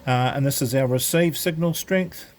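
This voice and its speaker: A man's voice: medium pitch, normal speed, a little hiss or hum. A man giving an informal, unscripted product tutorial, explaining step by step in a casual, hesitant way. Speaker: presenter of an amateur radio gadget demo.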